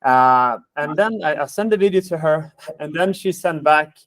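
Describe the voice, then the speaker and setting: A man with a Swedish accent hosts a webinar on a video call. His voice sits fairly high and sounds dry and close, and he talks in a friendly, hesitant way with plenty of fillers. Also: lively intonation, normal speed, fairly bright tone, dry recording. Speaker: webinar host. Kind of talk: casual webinar chat.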